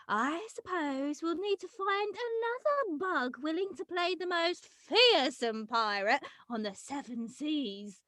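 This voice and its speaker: A woman voicing a prim, patient cartoon bug who tells stories in a measured, dramatic way. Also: high-pitched, animated, a bit bright, no room sound, studio quality, heavily acted. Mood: reproving. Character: prim, patient bug storyteller with a slimy tail. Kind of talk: animation table read.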